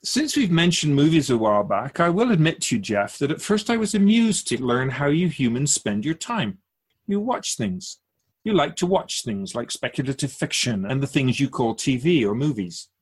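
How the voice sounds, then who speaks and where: Man playing a lively, husky wise spirit guide. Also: mid-range voice. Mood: gently amused. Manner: measured, musing, rhetorical questions, formal wording. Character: wise otherworldly spirit Keeper, patient, gently teasing, solemn teacher. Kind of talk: radio drama dialogue.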